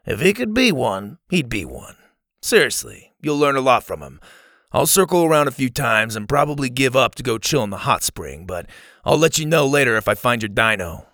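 A man voicing an easygoing, gruff biker camp counselor in an audiobook, with a casual, blunt, teasing manner. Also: medium pitch, fairly bright tone, dry recording, clean studio sound. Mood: advising.

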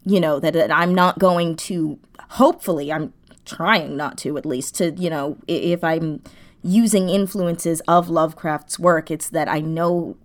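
A woman speaks as an interview guest in a slightly low register. Her delivery is conversational and reflective, and she often circles back to correct herself while searching for the right words. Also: a little slow. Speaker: audio drama creator being interviewed.

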